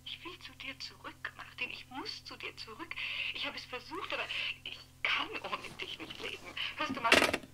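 An actress playing a desperate, reproachful former lover, breathy and imploring over the telephone in a 1960s radio play. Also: female voice, high-pitched, lively intonation, normal speed, heavy background noise.

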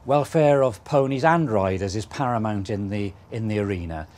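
A man who is a chief referee and vet, speaking in a measured, hesitant way with many fillers in an interview. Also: on the low side, darkish tone.

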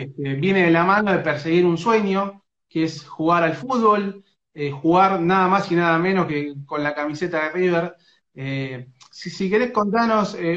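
Argentine man, rioplatense accent, somewhat high pitch, halting interviewer, muffled roomy phone sound. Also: a bit bright. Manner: hesitant, many fillers and restarts. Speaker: sports radio interviewer. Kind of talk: casual interview on a phone live stream. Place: indoors, small room. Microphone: phone front camera.

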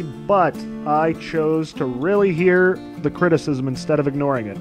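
A man giving a sarcastic commentary as a video essay host, dry and mocking. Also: fairly high pitch.

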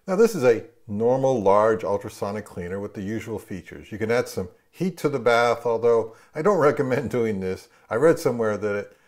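A man's voice: lowish voice, expressive, normal speed, few pauses, darkish tone. A man in his fifties talking casually and offhandedly, like a host of a home product review vlog. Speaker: channel host, record collector. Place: indoors at home, living room and kitchen.

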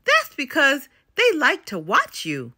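Motherly storybook bear, patient, soothing, unhurried, with lively melody, voiced by a woman. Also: high voice, pitched above the performer's own voice, exaggerated voice. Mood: reassuring. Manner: soothing, encouraging. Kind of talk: children's storybook read-aloud.

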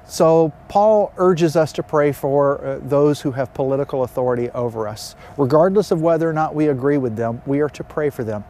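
Pastor, male, 50s. Mellow, slightly high voice, measured and halting delivery, outdoor address. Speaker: pastor leading an online worship gathering. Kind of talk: spoken announcements and pastoral address. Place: outdoors beside a river.

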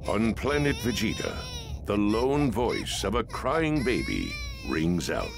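An actor playing the grave, portentous narrator of a space saga video game, reading a dramatic script. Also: male voice, fairly low pitch, very animated, slow, creaky, background noise, heavily acted. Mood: grave, ominous. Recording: music behind the voice.

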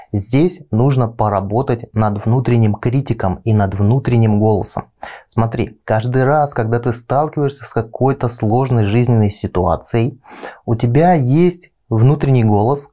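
Man, thirties, video coach, mellow tone, medium pitch, step-by-step explanation, direct address, lapel mic. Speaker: video blog host, coach and trainer. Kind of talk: instructional talk to camera, addressing the viewer. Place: small indoor studio with panelled backdrop.

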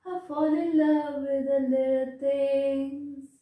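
A young woman softly singing a pop love ballad with no accompaniment, sitting on a bed in a small bedroom with a handheld mic. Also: sits high, steady tone, slow pace, phone-quality sound. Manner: soft, unaccompanied singing. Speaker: singer. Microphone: handheld mic held close. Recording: very echoey room, voice sounding distant.

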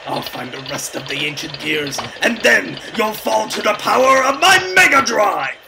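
Pompous mad scientist villain, gravelly, swooping delivery, ranting cartoon theatrics, music behind. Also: male voice, sits high, animated, moderate pace. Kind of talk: animated comic dub.

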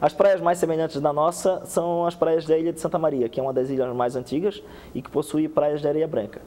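Fast-talking young man in a TV studio. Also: fairly high pitch, long reverb tail. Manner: fast, animated explaining with many restarts and hand gestures. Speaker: guest researcher. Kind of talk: TV studio interview. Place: TV studio set. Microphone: lapel.

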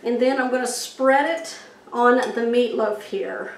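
A woman's voice: on the high side, a little slow. A middle-aged woman, chatting with viewers as she demonstrates a recipe in her home kitchen. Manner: chatty, folksy, checks in with viewers. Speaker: home cooking host. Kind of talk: cooking demonstration. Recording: echoey kitchen with faint noise.

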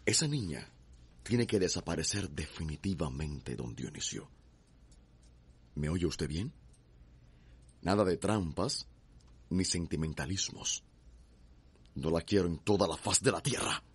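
An actor playing a ruthless, imperious wealthy villain in a radio soap opera, cold and contemptuous. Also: male voice, sits low, expressive, measured pace, long pauses, breathy edge, heavily acted. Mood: cold menace.

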